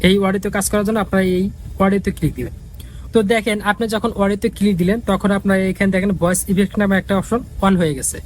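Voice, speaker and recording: A man's voice: high voice, slight background noise, poor audio. A man giving a casual, repetitive step-by-step app tutorial as the host. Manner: repetitive, instructional.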